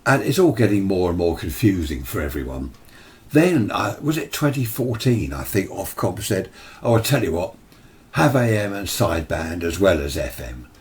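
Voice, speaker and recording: A man's voice: sits low, big pitch swings, normal speed, a little mellow, a little hiss or hum. A British man giving an informal, opinionated explainer in a conversational way, as a radio hobby presenter. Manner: opinionated, conversational, mimics imagined replies. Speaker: amateur radio video presenter.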